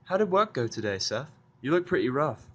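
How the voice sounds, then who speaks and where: A man's voice: mid-range voice, big pitch swings, brisk, dull audio, pitched above the performer's own voice. A man voicing an anxious, bookish young female unicorn with a fretful, apologetic manner in a fan fiction audio drama. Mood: concerned, miffed.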